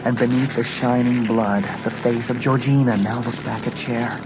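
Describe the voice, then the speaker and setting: A man narrating a horror audiobook in a dramatic, suspenseful way with deliberate phrasing. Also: medium pitch, steady tone, very noisy. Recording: loud music under the voice. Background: loud music.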